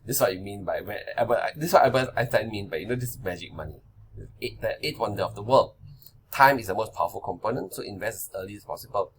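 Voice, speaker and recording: A man's voice: fairly low pitch, expressive, quick pace, a bit bright, slight background noise. A man of forty giving a casual slide-based lesson on investing, talking loosely and checking in with "right?" and "okay?". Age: 40s. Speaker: finance presenter.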